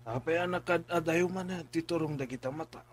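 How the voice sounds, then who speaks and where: A man playing a middle-aged father who gently advises, in a radio drama. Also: a little high, brisk, slightly rough. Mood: concerned, advising. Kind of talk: Filipino radio drama acting.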